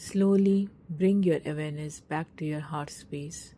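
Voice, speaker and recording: A woman narrating a scripted guided meditation in a soft, breathy, husky voice, with a slow, soothing, hushed delivery and long pauses. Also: deep voice, fairly even intonation.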